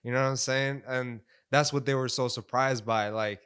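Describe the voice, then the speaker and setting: A man's voice: medium pitch, steady tone, moderate pace, a bit bright, smooth. A man chatting casually as a podcast guest, candid and emphatic, with plenty of slang and swearing. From San Diego, United States. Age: 20s. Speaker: podcast guest, music artist.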